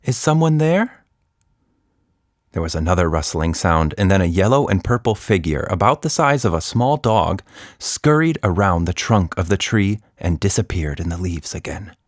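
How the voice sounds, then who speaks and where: A man narrating a scripted children's story as a podcast storyteller, deliberate and expressive. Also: sits low, no room sound, clean studio sound.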